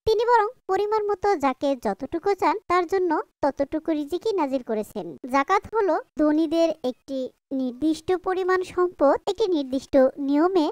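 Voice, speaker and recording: Kind, pious young-family mother for a moral cartoon. High pitch, earnest teaching delivery, dry studio sound. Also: lively intonation, normal speed, darkish tone, no echo. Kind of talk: Islamic moral cartoon voice acting.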